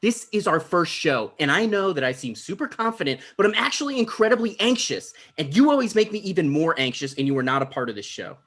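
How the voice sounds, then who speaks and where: Man playing high-strung, self-important talk show host. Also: very animated, fast, few pauses, fairly bright tone. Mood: anxious, indignant. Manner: petulant, defensive, pompous. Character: anxious, self-important thirty-five-year-old male talk show host. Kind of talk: comedy script table read. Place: video call.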